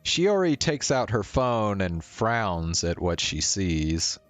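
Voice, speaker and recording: A man's voice: on the low side, very animated, slow, no echo, soft background music. A man streaming a visual novel, mixing read-aloud narration with casual commentary on the game.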